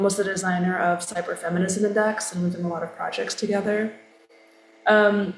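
A woman's voice: medium pitch, a little hiss or hum. A woman presenting her creative project, explaining it in a thoughtful way. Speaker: artist presenting her work. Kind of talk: artist talk. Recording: echoey room.

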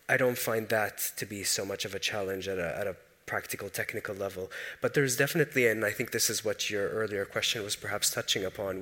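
A man who grew up speaking Arabic and English, moderating a book talk in a relaxed, hesitant way with many fillers. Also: lowish voice. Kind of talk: panel discussion. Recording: echoey hall reverb.

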